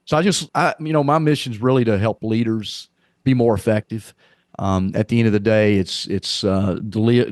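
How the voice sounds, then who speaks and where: Man, southern accent, middle-aged, lowish voice, restarts and repeats, dry sound. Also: a little mellow, no echo. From Arkansas, US. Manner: rambling, repeats words, earnest. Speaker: podcast guest, leadership coach. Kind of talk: casual conversational interview.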